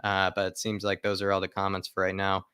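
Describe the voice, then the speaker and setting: A man with a fairly low, forceful voice hosts a football fan livestream. He talks casually and directly to viewers and often repeats phrases for emphasis. The sound is dry and close. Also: completely flat delivery, fast, smooth, no room sound. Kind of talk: casual live fan chat, reading viewer comments aloud.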